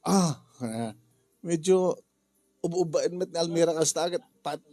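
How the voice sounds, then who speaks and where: A man with an Ilocano Filipino accent talking casually through a drama story in a halting, rambling, jokey way. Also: fairly high pitch, warm tone, dry recording, clean studio sound, faint music behind. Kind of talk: casual spoken story briefing.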